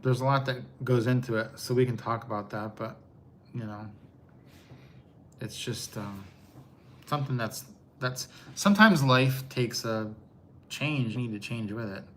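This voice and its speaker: A man's voice: mid-range voice, unhurried, long pauses, a bit bright, slight background noise. Easygoing older man chatting on a live stream. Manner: easygoing, chuckles often. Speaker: co-host of a travel channel. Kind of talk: casual live stream chat.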